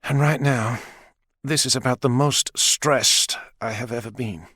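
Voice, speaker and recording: A man's voice: mid-range voice, unhurried, a bit bright, dry recording. Man as stressed senior woman officer, grave, subdued, audiobook dialogue, dry sound. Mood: strained, brooding.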